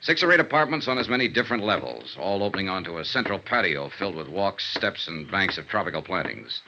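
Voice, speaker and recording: A man's voice: low voice, big pitch swings, fast. An actor playing a confident, wry American insurance investigator who narrates his case in clipped, hard-boiled 1950s radio drama style. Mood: wry narration. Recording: dull vintage radio recording with hiss.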